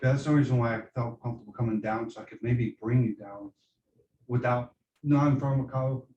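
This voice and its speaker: A man's voice: medium pitch, fairly even intonation, moderate pace, warm tone, a little hiss or hum. A male nursing student reflecting hesitantly on his performance, with many restarts. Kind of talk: debrief reflection. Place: simulation room. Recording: dull, distant, echoey room.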